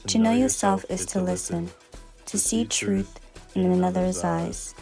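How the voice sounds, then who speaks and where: A woman recites a solemn, measured poem as a voice-over, her low voice heavily gravelly and full of fry. Loud music plays clearly behind her. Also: steady tone. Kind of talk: spoken-word poetic narration.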